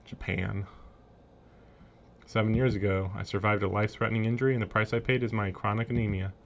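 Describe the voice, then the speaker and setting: A man's voice: lowish voice, steady tone, brisk. A man livestreaming a visual novel, reading the narration aloud and breaking off into casual, amused commentary.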